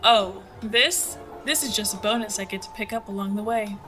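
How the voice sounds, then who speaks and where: A woman's voice: medium pitch, moderate pace, pushed and bright, close to an everyday voice. A woman playing a cocky, teasing airship pilot and trickster in an audio drama, sly and flippant. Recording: music behind the voice.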